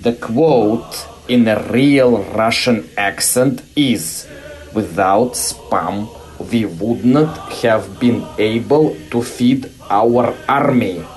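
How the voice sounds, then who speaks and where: A man co-hosting a casual comedy podcast, teasing with deadpan mock-scolding. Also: mid-range voice, drawn-out words, rough recording.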